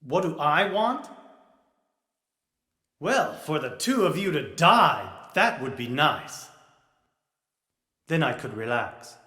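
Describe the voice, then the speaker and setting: A sardonic cynic with a fairly high, sharp voice that swings up and down. He drawls with weary sarcasm, video game style, in an echoing hall. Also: sing-song, slow, pauses often, full character voice. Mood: mocking. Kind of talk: video game cutscene acting. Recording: echoey hall reverb.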